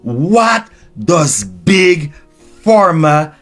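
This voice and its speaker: Male preacher from Haiti, first language Haitian Creole; unhurried, drawn-out sermon delivery with a slightly higher voice. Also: sing-song, faint music behind. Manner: unscripted, slow and drawn out, with restarts and swings in pitch and loudness. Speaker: preacher and teacher.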